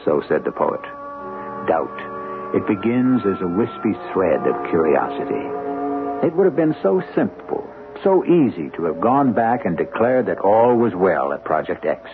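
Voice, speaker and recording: A man hosting a radio drama, narrating in an ominous, deliberate, theatrical 1970s style. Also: low-pitched, big pitch swings. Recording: muffled old radio broadcast. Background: music.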